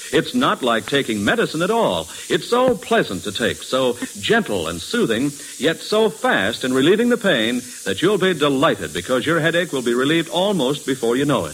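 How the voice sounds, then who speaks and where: A man's voice: on the high side, a bit bright, poor audio. A man announcing an old-time radio commercial with a polished, emphatic sales delivery. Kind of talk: vintage radio commercial read. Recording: hissy vintage radio recording.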